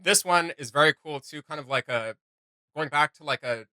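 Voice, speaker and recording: Male reaction host: bright, slightly high voice, close dry sound, enthusiastic casual fan chat with playful teasing. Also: normal speed, clear, resonant tone, no echo.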